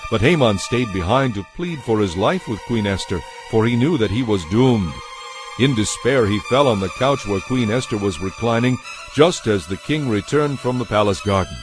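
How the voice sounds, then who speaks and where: Measured male narrator over music. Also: lowish voice, expressive. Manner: measured, formal storytelling. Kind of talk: scripted audio Bible narration.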